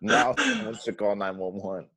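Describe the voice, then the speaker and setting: A man's voice: on the low side, huge pitch swings, normal speed, pushed and bright, a little hiss or hum, full character voice. A man playing a dramatic, panicky, bossy housemate in a comedy table read.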